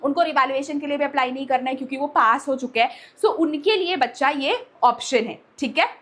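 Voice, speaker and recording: High-pitched woman addressing students. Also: a little fast, a bit bright. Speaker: online teacher and channel host. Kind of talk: direct-to-audience vlog talk with a sponsored pitch.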